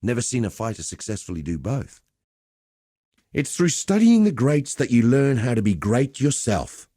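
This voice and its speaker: An actor playing a cheerful, rough-and-ready arena fight master in a video game, chatty and blokey. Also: male voice, mid-range voice, big pitch swings, moderate pace, dark tone, no room sound, clean studio sound. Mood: proud storytelling. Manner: chatty, cocky, blokey storytelling with colloquial swearing. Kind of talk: video game character dialogue.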